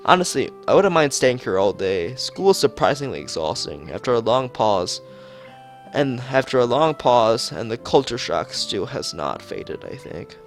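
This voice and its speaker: A man streaming a visual novel, reading its script aloud haltingly, stumbling and repeating phrases, with casual asides. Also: medium pitch, sing-song, soft background music.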